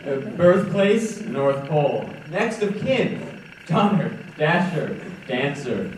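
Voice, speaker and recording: A man's voice: a little high, very animated, slow. Young man acting a wry fellow, distant and echoing. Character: easygoing, wry man reading a form aloud. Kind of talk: stage play as 1940s radio drama. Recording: echoey hall from far off, faint noise.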